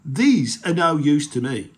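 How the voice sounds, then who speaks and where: A storyteller voicing a timid male troll in a children's storybook, with a small, high, shaky voice. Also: big pitch swings, unhurried. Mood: eager. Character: timid male troll who hides in a chest. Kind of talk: storybook read-aloud.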